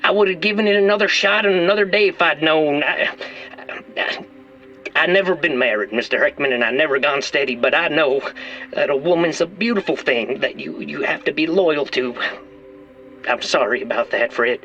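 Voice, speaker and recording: An actor playing a volatile, foul-mouthed, aggrieved hostage-taker in an audio drama, ranting and repeating himself. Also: male voice, a little high, normal speed, sharp tone, a little hiss or hum. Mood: remorseful, earnest. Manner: ranting, repetitive, profane, abruptly apologetic. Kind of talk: audio drama phone-call acting. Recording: dull, band-limited radio or phone sound.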